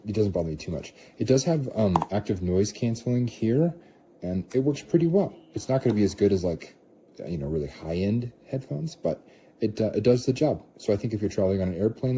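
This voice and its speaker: A low-voiced man talks through a casual review in a very soft-edged, dark tone. He rambles and questions his own wording, with some light background noise behind him. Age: adult parent of a young child. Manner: rambling, self-questioning, hedging. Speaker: product reviewer. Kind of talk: casual off-the-cuff product review.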